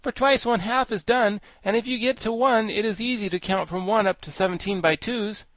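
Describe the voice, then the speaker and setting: A man voicing a pompous, highly educated giant insect in a storybook, declaiming pedantically and emphatically. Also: a little fast, a bit bright, no echo. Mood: emphatic, smug. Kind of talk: storybook character voice.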